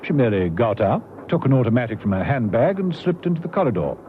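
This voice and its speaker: A man's voice: mid-range voice, very animated, quick pace, natural voice. A man playing the storyteller of a spy radio serial, narrating in a scripted, dramatic style. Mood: suspenseful action. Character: brisk, animated male storyteller of a spy serial. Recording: noisy muffled vintage radio recording.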